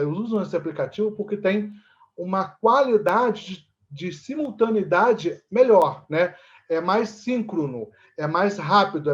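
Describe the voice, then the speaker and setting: High-pitched Brazilian man, muffled recording. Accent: Brazilian Portuguese. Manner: halting, explanatory, often seeking agreement with tag questions. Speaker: actor and theatre teacher, interview guest. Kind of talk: conversational interview explanation.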